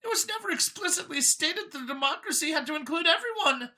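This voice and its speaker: A woman's voice: very high-pitched, animated, fast, bright, forceful tone, voice pushed up, heavily acted. A woman voicing a nervous, boastful female alien scientist from an audio story, whiny and gushing as she justifies her elitist views. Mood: nervous, whining. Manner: gushing, over-eager justifying.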